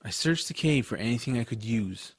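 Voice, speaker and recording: Audiobook lead: sincere young hero, first-person storyteller, medium register, dry studio sound. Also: male voice, mid-range voice, expressive, brisk, husky, no echo. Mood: thoughtful, suspenseful. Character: earnest, kind-hearted adventurous boy narrating his story.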